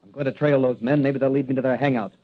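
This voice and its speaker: An actor playing a bold, wisecracking masked crime fighter in an old-time radio adventure serial, with a punchy, confident, declamatory delivery. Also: male voice, medium pitch, steady tone, quick pace, lightly acted. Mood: reassuring. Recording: tinny vintage radio recording.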